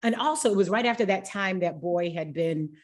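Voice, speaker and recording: A woman's voice: mid-range voice, a little fast. A middle-aged woman with an American accent, talking casually and candidly in a blunt, unfiltered way and swearing freely. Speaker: podcast host.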